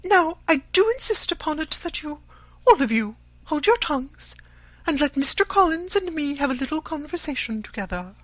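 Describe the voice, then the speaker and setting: Shrill, fretful scolding mother. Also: very animated, normal speed, no echo, poor audio. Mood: bossy. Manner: complaining, melodramatic. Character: shrill, fretful middle-aged English mother, self-pitying and scolding. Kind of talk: audiobook character voice.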